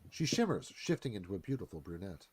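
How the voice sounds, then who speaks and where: A man's voice: sits low, very animated, fast talker, crowd noise. A man narrating a screenplay, reading out scene headings and stage directions.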